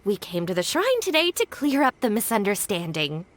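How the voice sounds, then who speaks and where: A woman's voice: mid-range voice, sing-song, moderate pace, a little hiss or hum, close to an everyday voice. A woman playing a composed young woman in a video game, speaking in formal, businesslike phrases as she covers for her gang. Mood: earnest, diplomatic. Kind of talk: English video game voice acting.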